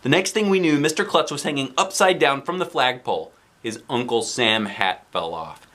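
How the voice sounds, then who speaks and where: A man narrating a children's storybook aloud in an expressive, dramatic way. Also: mid-range voice, normal speed. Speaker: storybook reader.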